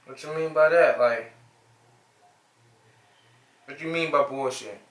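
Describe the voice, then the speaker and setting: A man's voice: fairly high pitch, takes it slowly, roomy sound. A man in his twenties with a Midwestern American accent, chatting casually and joking with strangers on a video chat app. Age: 20s. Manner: slangy, joking, sings and shouts at times.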